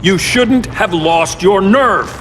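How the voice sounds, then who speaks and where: An actor playing a grim, all-powerful hero turned tyrant, in video game cutscenes, speaking in an intense, commanding, bitter way. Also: male voice, high voice, lively intonation, slow pace, a little hiss or hum, full character voice. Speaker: game character. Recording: game cutscene with loud effects behind.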